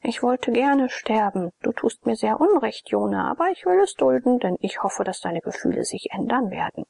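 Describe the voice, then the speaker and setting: A woman reading a scheming, imploring Egyptian man in a storybook, in a breathy half-whisper. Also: on the high side, animated, normal speed, dark tone, dry recording, pitched above the performer's own voice, full character voice. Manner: pleading, insistent.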